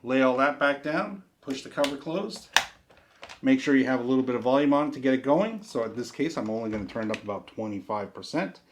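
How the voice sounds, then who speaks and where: A man's voice: medium pitch, expressive, brisk. Man demonstrating a product, noisy recording. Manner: conversational, step-by-step explaining with folksy asides.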